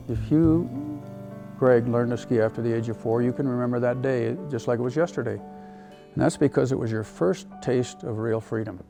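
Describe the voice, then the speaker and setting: A man with a creaky, gravelly voice at medium pitch talks reflectively. He is earnest and addresses his listener directly. Guitar music plays behind him. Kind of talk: reflective, conversational speech.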